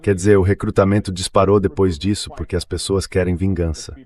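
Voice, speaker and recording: A man's voice: low voice, level tone, brisk, darkish tone. A man giving conversational interview commentary in a matter-of-fact way, read as a translated voice-over.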